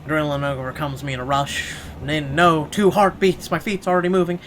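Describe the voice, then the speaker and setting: A man's voice: a little high, fairly even intonation, brisk, a bit bright, a little hiss or hum. A man playing a horror game, reading its text aloud theatrically and adding sarcastic asides. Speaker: let's player.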